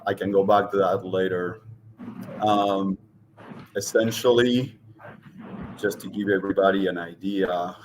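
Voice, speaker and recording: A middle-aged man from Argentina with an Argentine Spanish accent, speaking as an interview guest and pausing often with ums and restarts. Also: sits low, a little hiss or hum, low-quality recording. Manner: hesitant, many ums and restarts. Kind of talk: casual interview talk.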